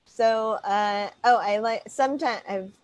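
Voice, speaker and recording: A woman's voice: on the high side, unhurried, a little mellow, no echo. A young woman from Indiana hosting a casual video-call chat, friendly and giggly, with many affirmations. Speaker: host.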